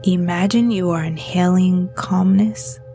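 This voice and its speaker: A woman leads a guided meditation at a very unhurried pace. Her voice is fairly low and mellow, with a gentle, breathy softness. Each instruction is delivered soothingly, as calm narration. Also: faint music behind.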